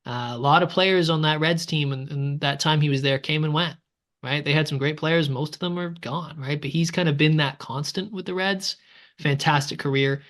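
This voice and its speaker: A man's voice: a little high, normal speed, a bit bright. A man from Canada, co-hosting a casual baseball podcast and often ending his points with "right?". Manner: conversational, tags statements with "right?". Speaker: podcast co-host. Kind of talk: casual sports chat.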